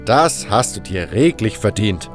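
A man's voice: lowish voice, sing-song, measured pace, soft background music. A man voicing a jovial, beaming mine boss in a fantasy audio drama, hearty and exuberant. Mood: beaming, excited.

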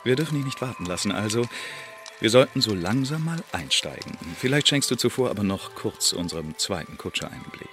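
An actor playing an urbane male agent in a German radio drama, measured and drily ironic. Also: on the low side, sing-song, fairly bright tone, soft background music. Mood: dry, teasing. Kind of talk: German radio drama, letter reading and dialogue.

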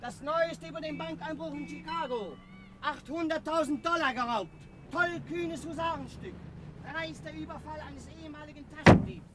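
An actor doing a newspaper seller with a very high, sing-song cry, hawking headlines urgently. Street noise sits clearly behind the voice in this old radio drama. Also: normal speed, lightly acted. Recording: noisy street scene, vintage radio play.

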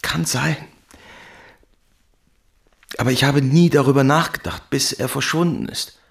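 A man's voice: medium pitch, a little slow, vocal fry. An actor playing a guarded, weary middle-aged working-class father in a radio drama, speaking in a terse, reflective, subdued way. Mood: wistful, subdued. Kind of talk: German radio drama acting, subdued realism.

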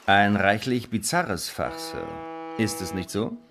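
A suspicious colonial border official in a radio play. The voice is low, the manner stern and clipped, like a formal interrogation, with light music around it. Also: male voice, very animated, takes it slowly, heavily acted. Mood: officious, skeptical.

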